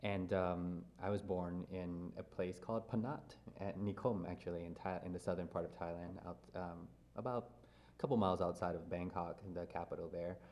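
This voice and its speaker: Guest, male, 30s, deep voice, easygoing storyteller who pauses a lot. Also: slight background noise. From grew up in Louisiana, USA. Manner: relaxed, thoughtful, many ums and restarts. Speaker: interview guest. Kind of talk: casual interview.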